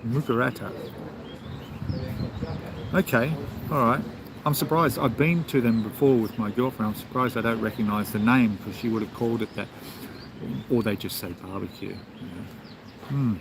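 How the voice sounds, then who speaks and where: A man's voice: mid-range voice, fairly even intonation, normal speed, pauses often. A man with an Australian accent, hosting a casual travel live stream and answering viewer comments in a rambling, chatty way, with plenty of ums and restarts. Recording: clear outdoor background noise.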